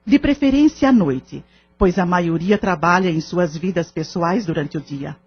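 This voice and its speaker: Composed, kindly senior lady, Brazilian, reassuring and formal, slightly rough voice, radio-novela style. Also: fairly low pitch, animated, a little slow, faint music behind, full character voice. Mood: composed, earnest. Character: kindly, composed older lady of a spiritist centre. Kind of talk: audio drama acting in Portuguese. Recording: dull lo-fi audio drama recording.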